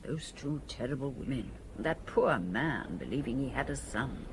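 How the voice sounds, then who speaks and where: A detective's wife in an audio drama, sympathetic and familiar in manner. Her intonation is lively and varied, her speech plain and conversational, with faint ambient noise around her. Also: extremely low pitch, normal speed. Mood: curious, sympathetic. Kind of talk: radio drama acting.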